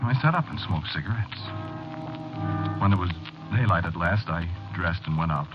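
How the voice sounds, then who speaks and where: An actor playing an anxious, haunted office man, confiding haltingly in an old-time radio horror drama. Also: low voice, animated. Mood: brooding, haunted. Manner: halting, brooding, confiding in the listener. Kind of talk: old-time radio horror acting, first-person narration. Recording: dull old radio drama recording, music behind.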